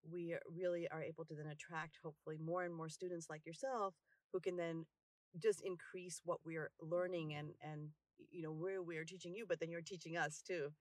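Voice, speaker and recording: A linguistics professor speaks in an interview, eager and fluent. His voice is a bit high and keeps to a narrow range. The recording is dry and close. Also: steady tone, a little fast, dry recording. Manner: enthusiastic, flowing speech. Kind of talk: interview answers.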